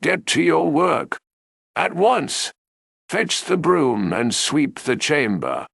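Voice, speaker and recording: A man plays a demanding soldier from a storybook, giving curt orders. The pitch swings widely, and the voice has a creaky, gravelly grain. He sounds commanding and sure of being obeyed. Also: big pitch swings, slow pace, fairly bright tone, dry recording, clean studio sound, full character voice. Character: bossy, demanding male soldier. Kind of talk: storybook character voice.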